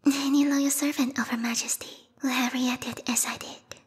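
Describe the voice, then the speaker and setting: A woman voicing a bloodthirsty, refined bat girl royal guard in a whispered ASMR roleplay, prim and courtly with quiet menace. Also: high-pitched, little pitch movement, normal speed, in a large hall. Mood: indignant, fervent. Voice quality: breathy, whispery. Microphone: close binaural microphone.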